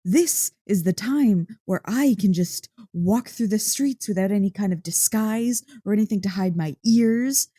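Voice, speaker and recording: An excitable dog-girl girlfriend, acted by a woman in an ASMR roleplay, with a soft, mellow voice recorded close and dry. She gushes and teases playfully, taking her time over the words as she talks one-on-one to her partner. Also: medium pitch, unhurried, few pauses, dry recording, lightly acted. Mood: giddy, excited. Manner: gushing, teasing, playfully indignant.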